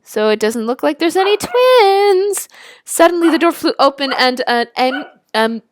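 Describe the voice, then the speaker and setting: A young woman casually reading a story aloud, with chatty, expressive, giggly asides as she reacts to it. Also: sits high, measured pace, no room sound. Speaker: YouTuber reading and reacting to fan fiction.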